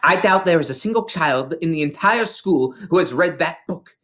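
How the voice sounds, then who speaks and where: A reader voicing a bullying, contemptuous older headmistress from a storybook, scolding with heavy, drawn-out emphasis. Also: mid-range voice, expressive, fairly bright tone, voice pushed up, natural voice. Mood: angry, accusing. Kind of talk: storybook character voice in a read-aloud.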